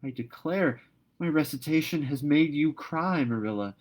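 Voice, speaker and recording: A tender teenage girl from a classic storybook, acted out by a man reading aloud. The pitch sits well above the reader's own. The delivery is gentle, earnest and emphatic. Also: animated, moderate pace, close to an everyday voice.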